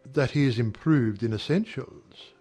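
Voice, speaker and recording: A man playing a charming, insinuating young army officer with formal, smooth, probing speech in a dramatized period audiobook. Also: mid-range voice, a little slow, darkish tone, soft background music, lightly acted. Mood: sardonic.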